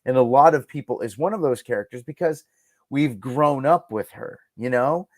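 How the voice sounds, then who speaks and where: Male podcast guest, middle-aged, mid-pitched, earnest and emphatic fan talk, very dry recording. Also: normal speed, dry recording. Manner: earnest, emphatic, repeating himself for stress. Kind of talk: casual fan discussion.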